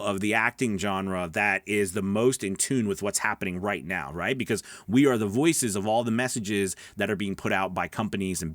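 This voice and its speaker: A man's voice: fairly low pitch, fairly bright tone, dry recording. Casual middle-aged man coaching, dry close sound. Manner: conversational, emphatic, checking in with listeners. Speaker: voice-over coach and online host. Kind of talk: casual instructional talk to camera.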